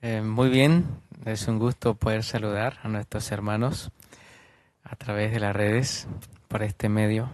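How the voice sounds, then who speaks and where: A man from Colombia's northern coast, with a Colombian coastal Spanish accent, a missionary preacher answering an interviewer in a measured way. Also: lowish voice, steady tone, a little mellow, a little hiss or hum. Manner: slow, measured.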